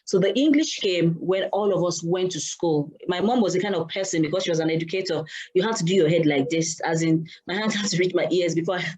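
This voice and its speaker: A woman's voice: on the low side, quick pace, hardly any pauses, darkish tone, slightly rough, poor audio. A Nigerian woman whose first language is Yoruba, telling a story earnestly on a panel and stopping to search for words. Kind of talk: casual panel discussion.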